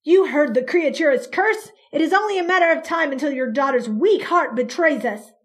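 A woman's voice: high voice, expressive, normal speed. A woman voicing an angry male police chief who spits threats. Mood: hostile.

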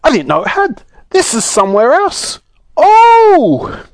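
A man voicing a muddled, anxious, peculiar little man in a children's storybook, excitable and yelping. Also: very high-pitched, moderate pace, dry recording, studio quality, voice pushed up, full character voice. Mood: shrieking dismay.

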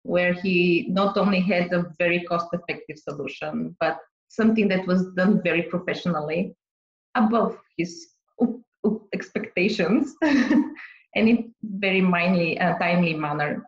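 Woman from Bulgaria, fairly low voice, interview guest, careful replies, roomy muffled sound. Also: moderate pace. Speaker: business owner guest. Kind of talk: podcast interview answers.

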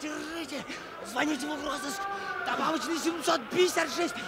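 An actor playing an alarmed, bossy bystander in a radio-drama street fight, shouting urgent orders. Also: male voice, very high voice, normal speed. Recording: noisy crowded street scene, radio drama.

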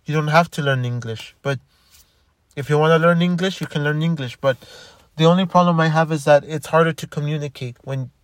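A man's voice: fairly high pitch, a little fast, no room sound. Rambling man with a dry close sound. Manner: rambling, frequent restarts and repeated words. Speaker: commentator. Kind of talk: casual monologue.

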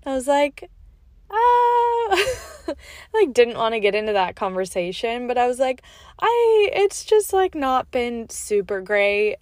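A woman's voice: a little high, sing-song, measured pace, darkish tone, no echo, studio quality. A young woman hosting her podcast from her car, sounding stuffy and nasal from a cold, telling a rambling, casual story full of "like" with laughs between asides. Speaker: podcast host. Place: inside a parked car.

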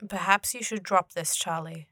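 A woman playing a solemn otherworldly guardian of souls in a fantasy audio drama, with formal, measured wording. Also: fairly low pitch, bright, forceful tone, dry recording, studio quality. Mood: grave.

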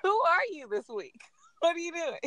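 A woman co-hosting a podcast, talking in a playful, slangy, animated way in casual chat. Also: extremely high pitch, moderate pace, a crowd in the background. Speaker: podcast co-host.

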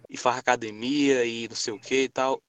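A seventeen-year-old young man, hosting a casual podcast chat and telling rambling, animated stories full of slang. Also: medium pitch, fairly quick. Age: teens. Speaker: podcast host.

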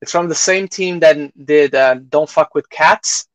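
A man with a slightly high voice talks casually as a podcast host giving reviews. He often repeats and restarts phrases, slipping into quoted reactions as he goes. The sound is close and dry. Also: no room sound. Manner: halting, repeats phrases, acts out imagined reactions.

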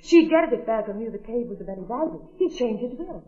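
A greedy, murderous American schemer from a 1940s radio drama. Her voice sits high and intense, pushed toward melodrama. The sound is thin and phone-like, with heavy room echo. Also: big pitch swings, fast, mellow. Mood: bitter, menacing. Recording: tinny, echoey old radio recording.

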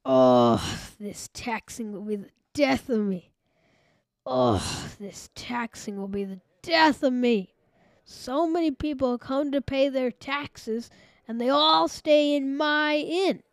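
A blustering, put-upon innkeeper from a children's Bible drama acted by a young, high voice. The complaints come slowly in a high register. The sound is dry and close. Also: lively intonation, clear, resonant tone, no room sound, exaggerated voice. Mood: exasperated, grumbling. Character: grumpy, harried male innkeeper of Bethlehem. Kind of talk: children's radio-play style Bible dramatization.

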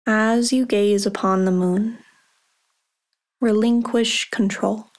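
A woman leading a guided meditation, speaking softly and calmly with long pauses. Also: mid-range voice. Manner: slow, soft and calm, with long pauses.

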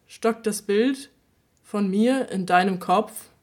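A woman's voice: mid-range voice, slow. A woman in a radio play speaking directly to the listener and asking a string of questions that invite them to imagine her. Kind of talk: scripted address to the listener.

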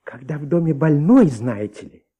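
An anxious, sleepless husband giving witness in a Soviet radio drama. The voice is high and mellow, swinging widely in pitch. He is talkative and fussy, hesitating and repeating himself. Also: big pitch swings, normal speed, slightly rough. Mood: weary, fussy.

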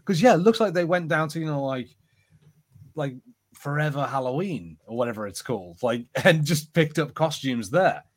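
A man's voice: a little high, lively intonation, normal speed. A man chatting casually as a podcast co-host, with a wry, joking way of talking. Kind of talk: casual pop-culture chat.

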